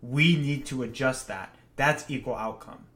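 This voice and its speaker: A man's voice: mid-range voice, unhurried, a bit bright. A man who grew up partly in Japan, co-hosting a podcast and giving blunt, opinionated views in casual chat. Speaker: podcast co-host. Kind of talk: casual discussion.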